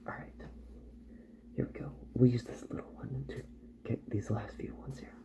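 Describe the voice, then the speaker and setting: A man speaking softly, slowly and soothingly in an ASMR roleplay as a repair doctor, often slipping into a whisper. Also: mid-range voice, level tone.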